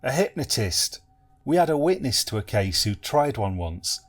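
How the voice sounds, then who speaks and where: A man's voice: mid-range voice, big pitch swings, normal speed. A man voicing a chatty, friendly male police contact.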